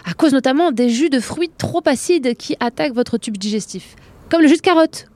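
A woman's voice: high voice, lively intonation, normal speed, warm tone, no room sound. An actress playing a brusque, sarcastic young woman doctor in a French audio drama. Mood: insistent. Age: young adult. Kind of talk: French audio fiction acting.

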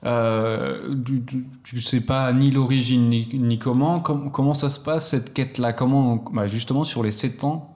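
A man with a mid-pitched, ordinary voice hosting a podcast interview. He speaks in a friendly, conversational way, with frequent hesitations as he shapes his questions. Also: steady tone, unhurried, hardly any pauses, darkish tone. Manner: friendly, hesitant with many fillers.

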